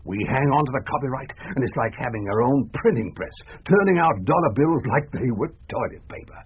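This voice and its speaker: An actor playing a greedy, persuasive American press photographer in a radio drama, with a rough, husky voice. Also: male voice, medium pitch, lively intonation, a little fast. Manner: wheedling, calls younger man boy. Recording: muffled 1980s radio drama recording.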